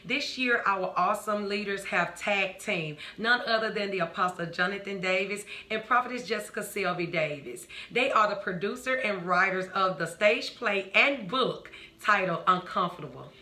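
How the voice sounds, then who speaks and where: A woman's voice: medium pitch, moderate pace, hardly any pauses, bright, forceful tone. A middle-aged woman presenting a video announcement, recorded indoors on a camera mic a few feet away. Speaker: presenter promoting a stage play. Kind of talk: video announcement and playful home skit. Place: indoor home room. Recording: echoey room, slightly distant, noisy.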